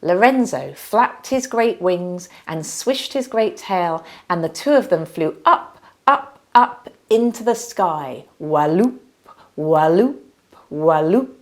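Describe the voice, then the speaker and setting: A woman reading a children's storybook aloud as narrator, with dramatic, deliberate emphasis. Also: fairly low pitch, animated.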